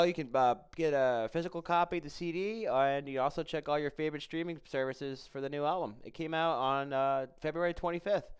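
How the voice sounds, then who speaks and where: A man's voice: a little high, smooth. A man hosting a podcast, chatting casually and a little hesitantly as he introduces and interviews a guest. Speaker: podcast host interviewing a musician.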